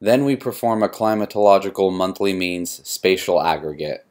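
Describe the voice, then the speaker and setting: A man reading scripted narration for a software tutorial in a measured, methodical way. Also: deep voice. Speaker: software demonstrator. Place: voice-over for a screen recording.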